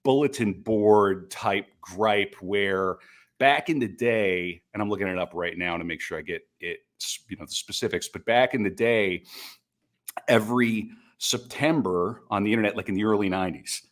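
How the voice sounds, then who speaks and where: A man's voice: fairly low pitch, normal speed. A man speaking as a podcast guest, telling stories casually with offhand asides.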